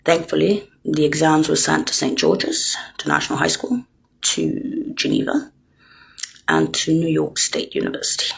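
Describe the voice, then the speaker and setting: An older woman in a rambling monologue, lurching between hushed whispers and loud, emphatic bursts as she accuses her listeners directly. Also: deep voice, sing-song, moderate pace, vocal fry, breathy edge, low-quality recording.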